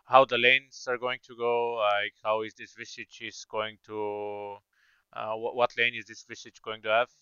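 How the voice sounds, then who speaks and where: A man's voice: lowish voice, level tone, normal speed, sharp tone, clean tone, dry recording. A man with a Bulgarian accent co-casting an esports match, analytical and hesitant with many fillers. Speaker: esports co-caster. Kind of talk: live game commentary. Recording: slightly dull, lo-fi mic.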